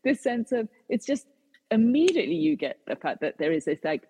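A woman's voice: a little high, very animated, fairly quick, dark tone, slightly rough, big-room sound, rough recording. A British woman, an author and guest, answering interview questions with many fillers and restarts. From Britain.

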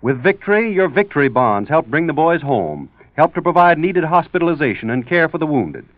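A man's voice: fairly high pitch, big pitch swings, brisk, no room sound. A male radio announcer reading a scripted public-service appeal in 1940s style. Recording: muffled dry vintage radio recording.

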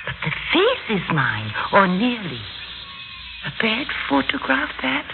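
High female voice, very expressive range, proud suspicious German wife, anguished, old radio drama, thin narrow-band sound. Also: unhurried, gravelly, full character voice.

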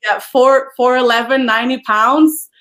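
A woman, chatting as an interview guest, full of "you know" fillers and quick to laugh. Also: sits high, steady tone, a bit bright. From Poland. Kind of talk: casual interview chat.

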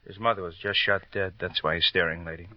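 Man playing a blunt, deep-voiced old-radio police detective. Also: level tone, quick pace, close to an everyday voice. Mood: impatient, pressing. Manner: insistent, clipped questioning. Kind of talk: 1940s-50s radio drama acting. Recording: muffled old radio recording, dry studio.

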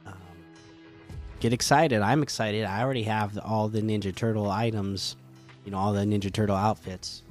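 A man hosting a casual gaming podcast, chatty and relaxed with lots of fillers, slightly hoarse from a cold. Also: fairly low pitch, normal speed, faint music behind. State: catching a cold, losing voice. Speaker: podcast host.

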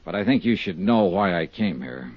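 An actor playing a tough, persistent insurance investigator in a 1950s radio drama, with a clipped, direct, probing delivery. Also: male voice, deep voice, expressive, normal speed, no echo. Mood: insistent. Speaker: lead detective and narrator. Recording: muffled 1950s radio recording.

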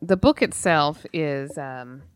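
Woman, low-pitched; casual rambling podcast co-host, dry sound. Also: takes it slowly, clear, resonant tone, dry recording. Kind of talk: casual chat.

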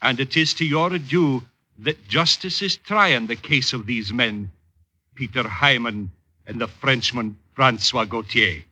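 A man's voice: medium pitch, measured pace, bright, forceful tone, slightly rough, full character voice. An actor playing a solemn, fatherly judge with formal, oratorical, archaic phrasing in an old-time radio drama.

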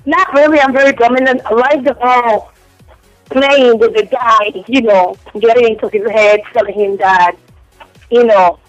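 Frank, giggly young woman, Spanish accent, calling in over a muffled phone line, very dry sound, soft music beneath. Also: on the high side, steady tone, moderate pace, dry recording. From Cuba. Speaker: phone-in guest. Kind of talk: casual radio interview. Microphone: telephone.

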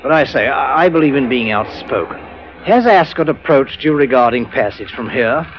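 Casting: male actor as jolly, well-mannered British planter, animated swoops, muffled old radio sound. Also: medium pitch, normal speed, natural voice. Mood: hearty, confiding. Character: hearty, polite British colonial gentleman husband. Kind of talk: 1940s radio drama acting. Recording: muffled vintage radio recording.

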